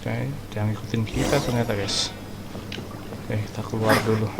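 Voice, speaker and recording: A man's voice: on the low side, flat delivery, a little fast, vocal fry, very noisy. Chatty male game streamer. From Indonesia. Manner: chatty, explains the story to viewers. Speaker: gaming streamer. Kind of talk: casual let's-play commentary. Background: game music.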